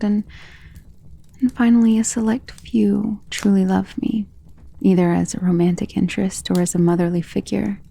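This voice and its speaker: A woman playing a centuries-old, tender and protective vampire in an intimate ASMR romance roleplay, speaking softly and earnestly, often in a whisper. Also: medium pitch. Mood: tender, gentle. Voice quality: soft, breathy, often dropping to a whisper.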